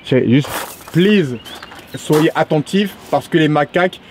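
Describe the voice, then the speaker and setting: A man's voice: fairly high pitch, unhurried, slight background noise. A man joking and chatting casually while walking outdoors, filming a travel vlog. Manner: joking and teasing. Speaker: travel vlogger. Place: outdoors on a nature reserve trail.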